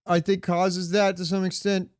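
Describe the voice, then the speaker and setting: A man's voice: high-pitched, flat delivery, normal speed, smooth, no room sound, clean studio sound. Clean-voiced man chatting casually. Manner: informal, sweary. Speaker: podcast co-host. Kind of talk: casual banter about films and pop culture.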